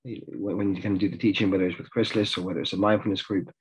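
A man's voice: low-pitched, fairly even intonation, very fast, husky, rough recording. A middle-aged man from South Africa, a therapist, talking hesitantly with many fillers on a video call. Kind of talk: reflective group discussion on video call. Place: home room. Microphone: video call webcam.

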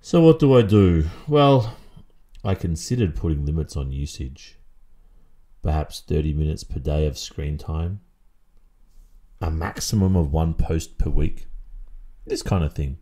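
An Australian man performing an ASMR roleplay as a stern, controlling boyfriend, speaking in a deliberate, measured way. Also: low-pitched, warm tone. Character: stern, controlling, possessive boyfriend lecturing his partner.

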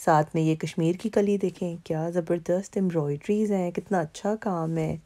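A woman's voice: lowish voice, steady tone, moderate pace, dark tone. A woman from Pakistan narrating her vlog in a casual, chatty way, with frequent fillers. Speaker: vlogger. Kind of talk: casual narration over mall footage.

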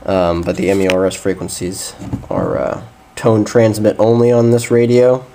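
A man's voice: lowish voice, normal speed, dark tone. A man giving a casual, hesitant hands-on demonstration of radio gear. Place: small indoor room at a desk. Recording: noisy amateur camcorder or phone audio.

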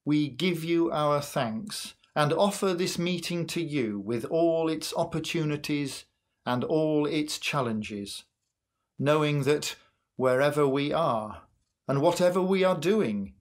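A man in his sixties leading worship as a minister, reading a sermon and prayers in an earnest, measured way with deliberate pauses. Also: a little high, lively intonation. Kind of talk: sermon and prayer, read from a script. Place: small curtained room at home, indoors.

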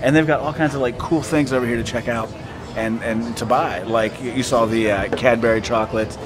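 A man's voice: medium pitch, fairly even intonation, a little fast. Man, 40s, vlog host, self-filmed, upbeat travel chatter over background music. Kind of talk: casual travel vlog narration. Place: outdoors at a market and indoors in shops. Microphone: handheld camera at arm's length.

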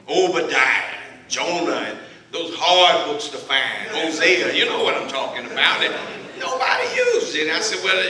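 Southern preacher from Texas in an echoey church. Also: male voice, recorded from a distance. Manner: folksy, builds from low asides to loud emphatic listing. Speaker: guest preacher. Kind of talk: sermon with humorous storytelling. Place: church sanctuary, walking in front of the pulpit. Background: congregation laughing and responding.

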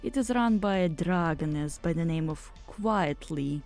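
A woman voicing a stern, commanding insect-bodied matriarch with a Russian accent who speaks low and with caution. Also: expressive, measured pace, a little mellow, faint music behind. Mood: grave.

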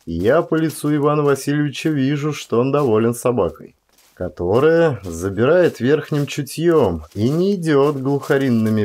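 A male audiobook narrator with a mid-range voice performs a first-person tale. His delivery is expressive and theatrical, varied from phrase to phrase, with deliberate pauses. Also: mid-range voice.

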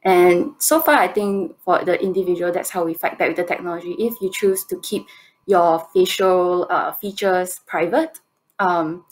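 Young female contestant, Singaporean accent. Slightly low voice, hesitant, thinking through answers over a video call. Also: steady tone, moderate pace, poor audio. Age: 20s. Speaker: competition presenter answering judges' questions. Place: indoor room, on a video call.

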